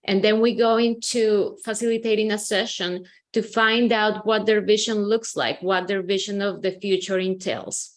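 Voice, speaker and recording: A woman from Colombia, a company CEO, explaining her consulting approach in a webinar with steady, measured speech and frequent "um"s. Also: medium pitch. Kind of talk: webinar presentation.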